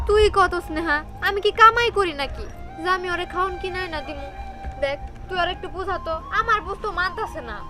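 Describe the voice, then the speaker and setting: Woman voicing a very high-pitched pleading girl. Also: brisk, over music. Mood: pleading, worried. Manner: earnest, pleading. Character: poor, pleading young girl minding her hungry brother. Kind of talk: Bengali cartoon dubbing.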